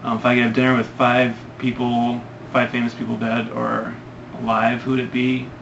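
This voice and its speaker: Young guy from Ohio doing a casual Q&A, rambling with ums; gravelly voice on a muffled, hissy recording. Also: medium pitch, completely flat delivery, normal speed. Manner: hesitant, rambling with many ums. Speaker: vlogger answering questions. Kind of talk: casual Q&A vlog. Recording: lo-fi, noisy home recording.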